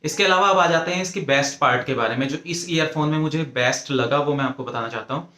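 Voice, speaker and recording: A man's voice: a little high, quick pace, pushed and bright. A man with an Indian accent giving an informal, methodical product review, explaining point by point and mixing in English phrases. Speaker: tech reviewer presenting an earphone review. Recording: echoey, roomy sound.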